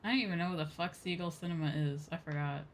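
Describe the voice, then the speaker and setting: A woman's voice: deep voice, fast, a little hiss or hum. A woman chatting casually and expressively as she commentates a game livestream. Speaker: streamer. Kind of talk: casual game livestream commentary, reading on-screen dialogue aloud. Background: game music.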